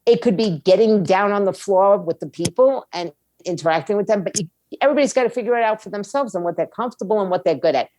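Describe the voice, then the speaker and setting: A woman's voice: mid-range voice, quick pace, a little mellow. A woman giving blunt, opinionated conversational answers as an interview guest, in short emphatic statements. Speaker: guest, music label owner and attorney.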